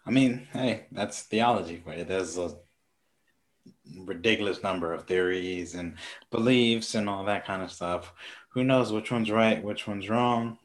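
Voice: low-pitched, measured pace. A co-host in casual chat, easygoing and offering short replies.

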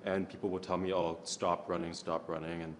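A man with a very deep, low voice answers judges' questions at an audition, quick to agree and eager to please. The archive TV sound carries a faint hiss behind him. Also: flat delivery. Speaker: contestant at a model audition. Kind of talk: audition interview.

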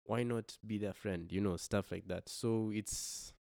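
A young man from Kenya with a very low voice speaks as a podcast guest. He talks in a reflective, hesitant way, often restarting his sentences. The recording is dry and close to the mic. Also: steady tone, unhurried, creaky, darkish tone, no room sound. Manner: thoughtful, hesitant with many restarts. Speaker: guest musician.

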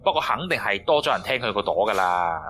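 A man's voice: fairly low pitch, expressive, fast talker, sharp tone, a little hiss or hum. A man acting a cagey, streetwise informant in a radio drama, using slangy Hong Kong Cantonese. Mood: cagey, knowing.

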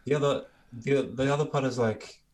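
A man speaking as a musician guest in a casual, reflective interview, candid and thoughtful, with halting phrasing. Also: medium pitch, fairly even intonation, darkish tone.

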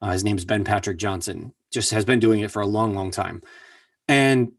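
A man's voice: fairly low pitch, fairly even intonation, normal speed, no echo. A man who works as a voice actor, talking in a casual interview and telling his story earnestly, repeating words for emphasis. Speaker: voice actor guest. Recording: distant mic, dry sound.

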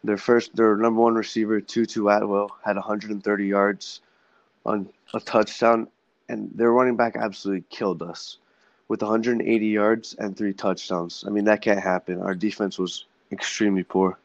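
A man's voice: fairly low pitch, little pitch movement, moderate pace, no room sound, lo-fi sound. A young man as a guest on a student sports podcast, giving blunt, emphatic opinions. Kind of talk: casual sports podcast chat.

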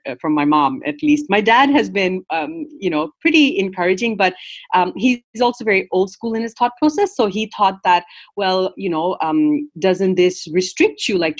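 A middle-aged woman from Bangladesh, speaking in a hesitant, conversational interview with many fillers and soft laughs. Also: fairly low pitch, no echo. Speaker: children's book publisher.

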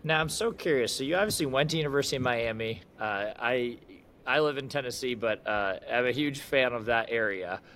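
A man hosting an interview show asks long, winding, multi-part questions with open curiosity. His voice sits at an average male pitch. A faint low rumble runs underneath him. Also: brisk, fairly bright tone. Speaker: podcast host. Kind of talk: interviewing a guest.

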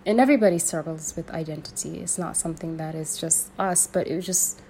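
A young woman from Sudan speaking in an earnest, searching way, pausing and restarting as she thinks aloud. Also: sits low, warm tone, a little hiss or hum. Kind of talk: personal reflective monologue.

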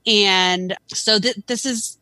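Podcast guest, woman, bright clear voice, dry close sound, earnest and reflective. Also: mid-range voice, steady tone, slow pace, dry recording. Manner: thoughtful, earnest, many restarts and "like". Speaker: photographer guest. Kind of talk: casual podcast conversation. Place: Philadelphia area.

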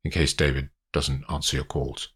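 A man's voice: rumbling low, flat delivery, moderate pace, a bit bright, breathy edge, voice pushed down, exaggerated voice. A man playing an anxious, short-tempered wealthy client who talks in a curt, tense manner. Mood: worried.

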